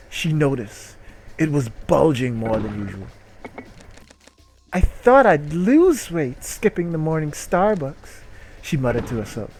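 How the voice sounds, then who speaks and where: Male host for comic dramatic readings: unhurried, mock-serious delivery, medium pitch, faint noise behind. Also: gravelly. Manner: mock-serious narration broken by loud, incredulous outbursts. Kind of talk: comic dramatic reading of an erotic short story.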